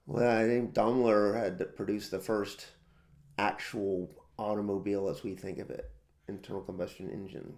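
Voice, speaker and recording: A man co-hosting a podcast, answering in a measured, overly analytical way with dry humor. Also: on the low side, fairly even intonation, darkish tone. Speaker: podcast co-host. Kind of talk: casual chat.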